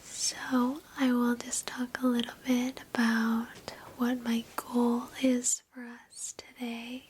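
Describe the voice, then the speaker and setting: A woman leads an ASMR meditation in a soft, breathy whisper. She speaks extremely slowly and keeps a fairly even tone, gentle and reassuring throughout. There is a faint noise in the recording. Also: a little high. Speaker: ASMR host guiding a meditation. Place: indoors, sitting on a bed.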